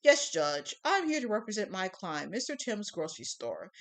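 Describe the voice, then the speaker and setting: A woman's voice: medium pitch, big pitch swings, moderate pace, fairly bright tone. A woman voicing a male prosecutor who presses and accuses a cashier in a classroom courtroom skit. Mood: accusing. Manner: pushy, pressing. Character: accusing male lawyer, pushy and blunt.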